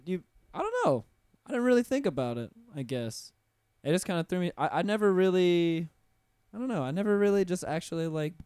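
Smooth-voiced man hosting a rambling podcast chat. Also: fairly high pitch, darkish tone, no room sound, clean studio sound. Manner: rambling and hedging, often trailing off with "I don't know". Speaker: podcast host. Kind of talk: casual interview chat. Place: studio.

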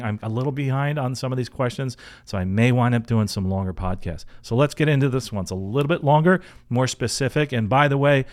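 A man hosts a casual advice podcast. His voice sits at an average pitch for a man. He talks in an informal, conversational way, like a coach answering questions from his listeners. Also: darkish tone. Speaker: podcast host and career coach.

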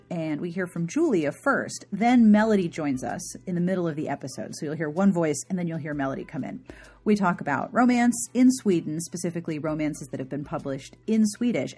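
A woman's voice: fairly low pitch, darkish tone, husky. A woman podcast host giving a chatty, informal and enthusiastic intro with playful asides.

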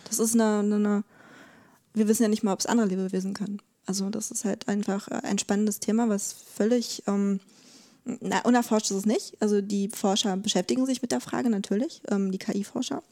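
Female expert interviewee, soft mellow tone, little melodic movement, thinks aloud with repeated words. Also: mid-range voice, fairly even intonation. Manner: explanatory, halting, repeats words while thinking. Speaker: podcast guest, legal expert.

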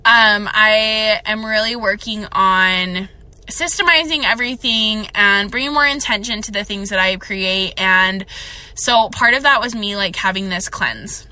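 A young woman hosting a casual solo podcast, chatty and excitable, a bit stuffed up from a cold, recorded on a phone. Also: mid-range voice, unhurried. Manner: chatty, excitable, rambling with fillers. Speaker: podcast host.

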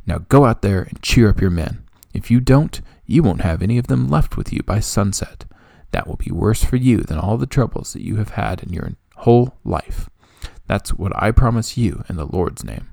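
A man's voice: on the low side, lively intonation, normal speed, vocal fry, few pauses, warm tone, no room sound, studio quality. A man voicing a stern army general, scolding and emphatic, in a storybook reading. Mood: angry rebuke. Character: stern, indignant army general rebuking his king.

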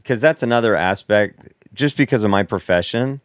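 Low-voiced man in rambling chat. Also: normal speed, clear, resonant tone, no room sound, studio quality. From Seattle area, United States. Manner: rambling, self-interrupting, laughs often. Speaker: podcast co-host. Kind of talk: casual chat.

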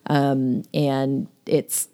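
A woman's voice: very deep voice, little pitch movement, extremely slow, dark tone. A woman discussing a novel in a casual podcast chat, talking with many fillers and easy laughs. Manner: chatty, lots of fillers and laughs.

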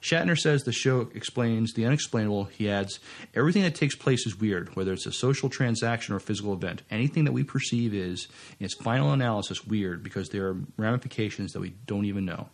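A man co-hosting a podcast, reading out entertainment news and chatting, with a halting, stumbling delivery. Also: fairly low pitch. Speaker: podcast co-host.

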